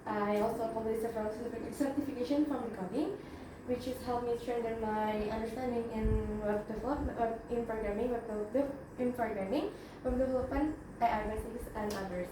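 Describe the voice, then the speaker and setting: A young woman with an Indonesian accent presents in a fairly high voice, pausing often to restart and fill gaps. She sounds distant from the mic in a very echoey room with steady background noise. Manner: halting, with frequent fillers and self-corrections. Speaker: student presenter. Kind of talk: class presentation.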